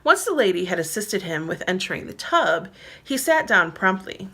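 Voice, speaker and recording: A woman's voice: medium pitch, big pitch swings, normal speed, pushed and bright, breathy edge. A woman reading her own novel aloud as narrator, in scripted storybook style.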